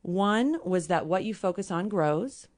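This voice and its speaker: Midwestern woman guest, hoarse, slightly low voice, earnest explainer. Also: animated, a little slow, darkish tone. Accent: Midwestern American. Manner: earnest, explains with everyday examples. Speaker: company president, guest. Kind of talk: casual interview talk.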